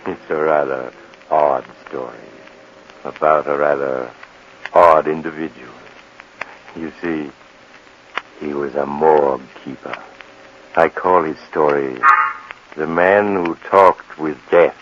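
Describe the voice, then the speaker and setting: A creepy, suave storyteller with an extremely deep voice, hosting a 1940s radio horror show. He is theatrical and deliberate, with sinister politeness, over a dull, noisy vintage recording. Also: steady tone. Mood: ominous, storytelling. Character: creepy, suave male storyteller host of eerie mystery tales. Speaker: host and narrator.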